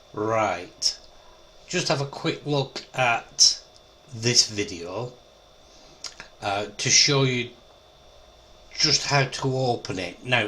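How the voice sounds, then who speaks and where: Male explainer, lowish voice, unhurried and halting, informal tutorial delivery, faint noise behind. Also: pushed and bright. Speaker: amateur radio presenter.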